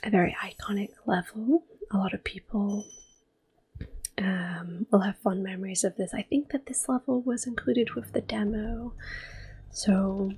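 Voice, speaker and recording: A woman in her thirties giving soft-spoken ASMR gameplay commentary, often slipping into a whisper, close to the mic. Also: medium pitch, fairly even intonation, unhurried, breathy edge. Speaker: ASMR gaming channel host.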